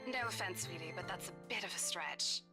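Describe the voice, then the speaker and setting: A woman's voice: lowish voice, brisk, natural voice. A woman voicing a sassy, impatient young real estate agent in a game, teasing and chatty, with music behind her. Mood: dismissive, teasing. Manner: teasing, chatty, dismissive of spooky rumors. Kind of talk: visual novel game voice acting.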